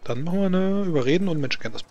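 A man's voice: high-pitched, animated, rapid-fire, lo-fi sound, noisy background. A man casually running a tabletop game as game master, explaining rolls and narrating outcomes.

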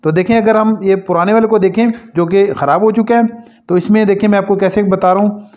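A man's voice: high-pitched, steady tone, brisk, darkish tone. A man demonstrating an electrical repair at an indoor workbench. He explains step by step, often tells the viewer to look, and corrects himself as he goes. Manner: explanatory, repeatedly saying "dekhen", with small slips and self-corrections. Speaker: repair tutorial host.

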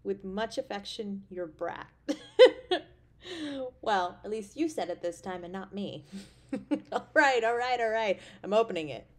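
A woman voice actor playing an affectionate, playful, caring girlfriend in an intimate roleplay audio drama, with a tender, expressive delivery. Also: medium pitch, a little slow, long pauses, lightly acted. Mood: delighted, affectionate.

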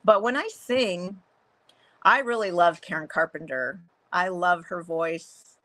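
A middle-aged woman with a deeper voice for a woman, chatting casually as an interview guest over a video call, with many fillers and restarts. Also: slow pace, a bit bright. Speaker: guest, photographer and songwriter. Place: home room, video call.

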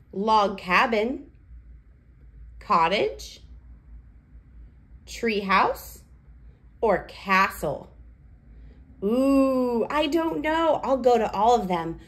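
A woman hosting a read-aloud for toddlers, speaking in a deliberate, sing-song way with simple phrasing. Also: on the high side. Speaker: children's read-aloud host.

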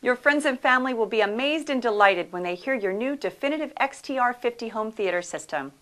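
Higher-voiced woman narrating a product promo. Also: lively intonation, brisk, a bit bright. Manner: polished, upbeat sales delivery.

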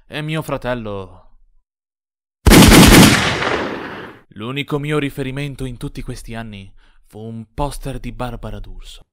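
An actor playing a self-important, brooding former school bully in jail, speaking theatrically with dramatic pauses in a comedy sketch. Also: male voice, medium pitch, slight background noise, lightly acted. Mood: mournful. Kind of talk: mock-dramatic confession to an interviewer.

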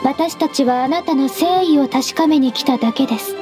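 Woman as very high-voiced, gentle, formal secretary. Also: over music, natural voice. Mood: politely firm. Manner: soft, formal, measured politeness. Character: gentle, composed half-beast female secretary, politely firm. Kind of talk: Japanese anime-style game voice acting.

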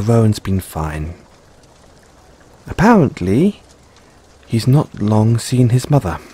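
Middle-aged dad in love , played by a man, for audio roleplay: lowish, mellow voice, affectionate but self-doubting, hesitant and tender. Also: breathy edge, full character voice. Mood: teasing, fond. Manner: hesitant, tender, gently teasing. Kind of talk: intimate audio roleplay acting. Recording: rain-like ambience noise behind.

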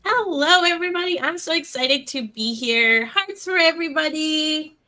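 Woman, Colombian-Minnesotan accent, bubbly, high, bright. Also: expressive, moderate pace. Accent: Colombian mixed with Minnesotan. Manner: bubbly, giggly. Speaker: co-host. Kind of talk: casual livestream chat.

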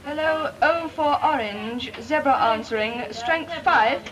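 A woman's voice: sits high, takes it slowly, a crowd in the background, low-quality recording. A woman working as a ground radio operator, speaking clipped radio procedure in 1940s training film dialogue.

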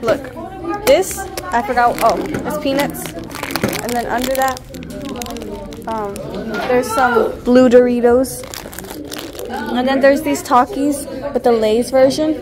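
High-voiced teen girl vlogging at school. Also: takes it slowly, pauses often. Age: teens. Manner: chatty, listing snacks item by item. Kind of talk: casual vlog tour. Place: school classroom and hallways, indoors. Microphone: phone camera held in hand. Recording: noisy chatter of students behind.

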